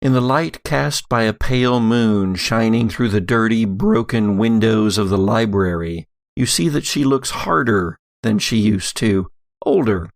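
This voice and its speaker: A man's voice: fairly low pitch, a little slow, vocal fry. A man narrating a scripted audio drama in a dramatic storytelling style. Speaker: narrator and game master.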